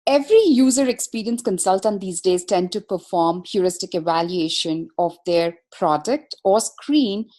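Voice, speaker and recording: A woman's voice: mid-range voice, dark tone. A woman presenting a webinar as a consultant, explaining material step by step in a formal, methodical way.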